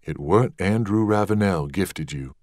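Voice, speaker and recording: Man playing a solemn, low-voiced Southern workman. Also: lively intonation, moderate pace, a little mellow, no room sound, studio quality. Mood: guarded. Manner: reticent, deferential, Southern dialect. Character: solemn, guarded young Southern working man.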